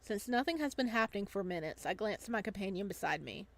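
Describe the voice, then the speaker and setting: A woman streams a story game in a medium-pitched voice. She reads narration aloud and reacts casually. Her manner is giggly and gushing. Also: animated, fast, a bit bright. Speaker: streamer reading a visual novel aloud.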